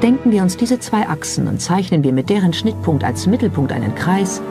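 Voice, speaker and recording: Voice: mid-range voice, very animated, fairly quick. A narrator reading a scripted documentary, presenting it as the narrator of the piece. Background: music behind the voice.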